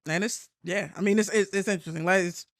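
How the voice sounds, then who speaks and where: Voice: medium pitch, fairly even intonation, quick pace, a bit bright, no room sound, studio quality. An American podcast co-host chatting casually in an informal, slangy way with swearing. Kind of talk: casual chat.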